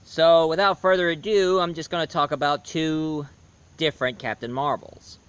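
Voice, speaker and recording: A man giving a casual spoken review, hoarse, rambling and correcting himself mid-sentence. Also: a little high, steady tone, moderate pace, fairly bright tone. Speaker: movie and comics reviewer.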